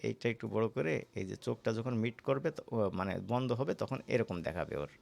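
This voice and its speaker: A man with a Bangladeshi accent giving a casual, conversational software tutorial as an instructor, often checking in with the listener. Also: fairly low pitch, expressive, normal speed, creaky. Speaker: animation course instructor.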